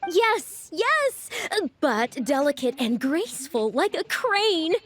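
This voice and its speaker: A woman's voice: high-pitched, sing-song, slow pace, a bit bright, heavily acted. A woman voicing an eager, flustered young female rookie journalist in a video game, earnest and prone to stammering. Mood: excited.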